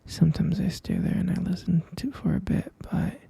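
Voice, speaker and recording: A man playing a mature stepfather in an ASMR roleplay, speaking in a breathy, husky half-whisper with a hesitant, confiding and concerned manner. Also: high voice, fairly quick, mellow, no echo, exaggerated voice. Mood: hushed concern.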